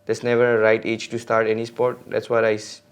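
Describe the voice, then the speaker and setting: A man's voice: fairly low pitch, monotone, fairly quick, smooth. A man, a guest on a podcast, talking casually and hesitantly with many fillers and restarts. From India. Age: 20s. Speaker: podcast guest, mountain bike racer.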